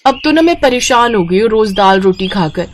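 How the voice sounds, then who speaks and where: A woman's voice: medium pitch, expressive, moderate pace, a little hiss or hum, close to an everyday voice. A woman voicing an earnest, kind-hearted poor teenage girl in a cartoon story, eager and sisterly.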